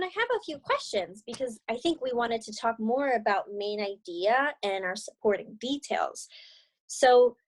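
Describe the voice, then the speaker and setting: A woman's voice: fairly high pitch, rough recording. A young woman teaching and reading aloud to children over a video call. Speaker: teacher and story reader. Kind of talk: children's read-aloud and lesson. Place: indoor room on a video call.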